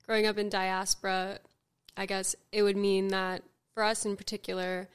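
A young woman from California talks through her thoughts on a podcast, her voice smooth and resonant at an ordinary middle pitch. She circles back on herself and leans on "like" as she works things out aloud. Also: flat delivery, moderate pace. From California, Bay Area. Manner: reflective, many "like" fillers. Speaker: podcast guest. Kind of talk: casual podcast conversation.

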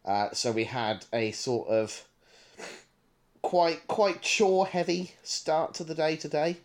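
A man's voice: fairly high pitch, slow pace. British man chatting casually. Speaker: podcast co-host. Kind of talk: casual chat.

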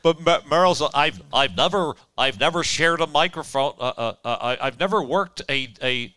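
Man, high and bright voice, animated, jokey guest chat, studio sound. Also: no room sound. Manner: jokey, slips into character voices. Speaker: guest impressionist. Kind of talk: casual chat with impressions.

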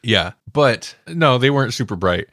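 An animated man talks casually as a podcast co-host. His pitch swings widely and he sounds amused. The sound is dry and close. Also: normal speed, dry recording. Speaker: podcast co-host reviewing audio dramas. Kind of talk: casual chat.

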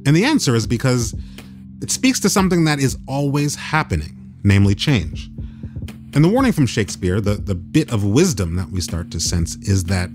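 A man speaks as the host of a radio play series. His delivery is reflective yet animated, with emphatic phrasing. Faint music plays behind him. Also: mid-range voice, normal speed. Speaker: podcast host.

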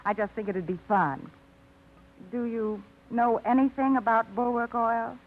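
A woman's voice: a little high, fairly even intonation, measured pace. An actress playing a wry, independent young woman tired of routine, with a dry wit, in a 1950s radio drama. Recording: tinny old radio broadcast.

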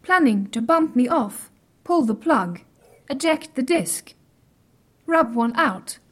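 A high, female-voiced superintelligent computer from an audio comedy. It speaks in stilted, exact, formal phrases with a deadpan delivery. It is pedantic and literal-minded, and quietly smug about its own intellect. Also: slow, exaggerated voice. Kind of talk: scripted audio comedy drama.

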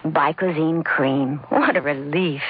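A woman's voice: low-pitched, takes it slowly, slightly rough. A woman giving a scripted testimonial in a radio commercial. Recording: dull lo-fi radio broadcast.

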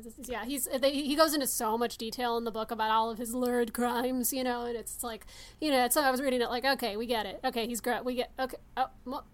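A woman's voice: a little high, fairly even intonation, quick pace. A woman co-hosting a podcast, chatting casually about films in a blunt, sweary manner. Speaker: podcast co-host. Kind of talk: casual film discussion.

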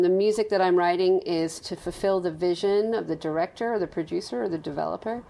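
A woman in her late thirties with a softened Australian accent, candidly describing her work as a film and game composer in an interview. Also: on the low side, gravelly. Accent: Australian, softened toward American. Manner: reflective, candid, restarts phrases. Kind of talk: interview guest.

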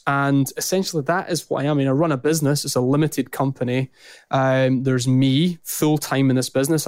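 A man's voice: mid-range voice, clean tone, no echo, studio quality. A man in his thirties with a strong Scottish accent, talking casually as a podcast guest from his office, rambling through lists. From Scotland. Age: 30s. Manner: rambling, list-heavy, lively. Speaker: podcast guest, business founder. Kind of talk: casual interview talk.

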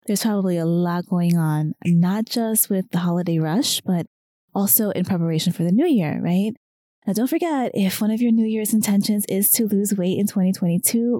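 A woman hosting her own weight loss podcast while losing her voice, talking softer than usual in an encouraging tone. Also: mid-range voice, no room sound. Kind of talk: solo podcast talk.

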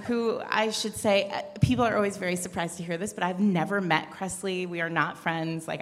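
A woman speaks as a podcast co-host on a live panel. Her talk is casual and joking, at a middling pitch, with the long echo of a big room around her. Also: a bit bright. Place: large indoor event room. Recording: echoey hall, live room microphone.

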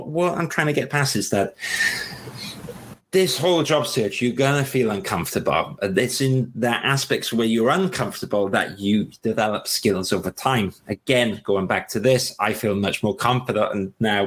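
A man hosting a webinar, giving casual, energetic job-search advice and often repeating himself. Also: fairly low pitch. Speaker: webinar host. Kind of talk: motivational talk.

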